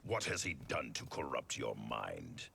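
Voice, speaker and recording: Stern game warrior, very low, fry-laden, animated intonation, suspicious, grave, faint noise behind. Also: male voice, a little slow, heavily acted. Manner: grave, terse, commanding. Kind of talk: video game cinematic acting.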